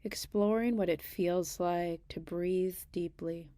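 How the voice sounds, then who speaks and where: A woman guiding a meditation in a gentle, unhurried manner, leaving long pauses between short phrases. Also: on the low side, fairly even intonation, no echo. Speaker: meditation guide. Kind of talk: guided body scan meditation.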